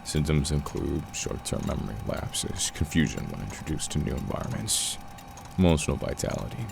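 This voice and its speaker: A man's voice: extremely low pitch, big pitch swings, normal speed, vocal fry, full character voice. A man playing a research doctor in an audio drama, dictating case notes in a formal, detached, clinical manner. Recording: noisy archive tape with vehicle-like ambience.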